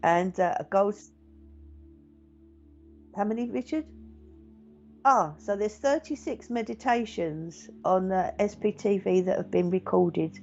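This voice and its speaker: Woman, middle-aged, gentle chatty meditation teacher, low gravelly voice, dull lo-fi audio. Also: a little slow, pauses often, soft background music. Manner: gentle, chatty, reassuring, with frequent fillers. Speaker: meditation teacher and psychic medium. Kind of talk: casual live-stream chat reading viewer comments.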